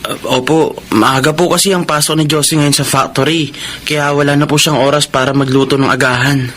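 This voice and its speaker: An actor plays a young Filipino son-in-law in a radio drama, humble and deferential. His voice sits a little high and he speaks hesitantly, eager to please. Background noise is clearly heard. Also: fairly even intonation, brisk, a bit bright, lightly acted. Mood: meek, helpful.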